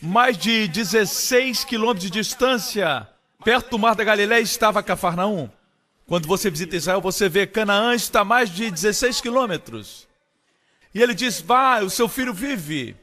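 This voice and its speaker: A man preaches with strong emphasis, his fairly high voice leaping upward when he drives a point home. A long reverb tail hangs behind every phrase, as if the sound carries through a large hall. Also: animated, normal speed, pushed and bright. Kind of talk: Portuguese-dubbed sermon.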